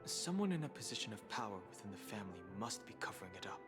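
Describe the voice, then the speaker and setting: An actor playing a calm, analytical male outsider in a video game, speaking in a measured, precise, formal way. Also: lowish voice. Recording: loud music behind.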